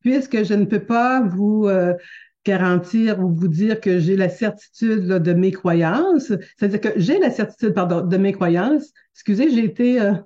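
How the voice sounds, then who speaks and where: Québécois woman teacher, mellow voice, halting delivery with lots of fillers, lo-fi webinar mic. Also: medium pitch. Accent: Québécois French. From Quebec, Canada. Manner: hesitant, many fillers and restarts, gentle and earnest. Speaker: teacher hosting a live webinar. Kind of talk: unscripted live webinar talk. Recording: dull lo-fi webinar audio.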